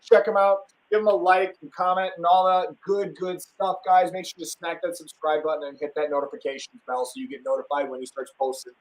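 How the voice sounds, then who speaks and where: A young man from Wisconsin hosts a casual live stream. His voice sits a little high, and he talks with eager enthusiasm, looping through fillers and restarts as he hypes up his guests. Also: little pitch movement, a little fast, hardly any pauses, low-quality recording.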